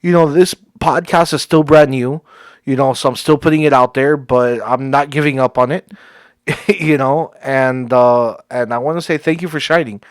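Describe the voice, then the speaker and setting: A man's voice: medium pitch, fairly even intonation, moderate pace, no echo, studio quality. A man hosting a podcast, talking casually and thanking his guest effusively, laughing often. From Cuban heritage. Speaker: podcast host.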